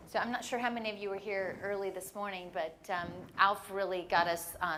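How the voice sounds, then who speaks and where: A woman moderating a conference panel on stage, energetic and encouraging, with a few fillers. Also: on the low side, slight background noise. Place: conference stage before an audience.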